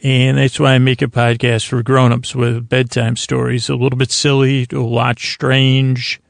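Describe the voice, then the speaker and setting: Male podcast host, gravelly fry, fairly even pitch, halting and circular bedtime rambling. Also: no room sound, studio quality. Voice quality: creaky voice. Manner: meandering, halting, circles back on himself. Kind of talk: rambling bedtime-story podcast intro monologue.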